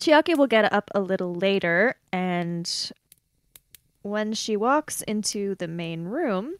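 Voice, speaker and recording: A woman's voice: medium pitch, expressive, slow, no room sound. A woman improvising narration and dialogue as a player in a tabletop role-playing game.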